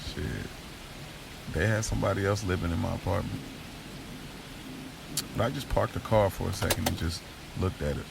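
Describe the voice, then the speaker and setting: An American man narrating an audio drama in the first person, in a gravelly voice and casual, slangy street vernacular. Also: low voice, steady tone, normal speed, pauses often, noisy background. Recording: music bed under the voice. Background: music.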